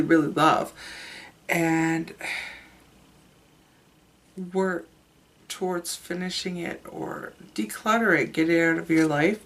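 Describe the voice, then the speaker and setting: A woman with a stuffed-up, sick voice, chatting candidly to camera about her makeup. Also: fairly low pitch. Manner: chatty, candid, trails off and restarts. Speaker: beauty vlogger. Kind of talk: casual makeup review to camera.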